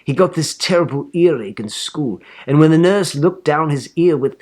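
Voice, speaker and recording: A man reading a children's book aloud in an animated, expressive storytelling manner. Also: fairly high pitch, normal speed. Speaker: story reader.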